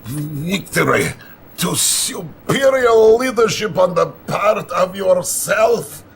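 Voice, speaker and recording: An actor playing a pompous older Soviet premier, making grandiose declamations in a game cutscene. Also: male voice, sits high, animated, slow, vocal fry, slight background noise, exaggerated voice. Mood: proud, commanding. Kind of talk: oratorical video game cutscene acting.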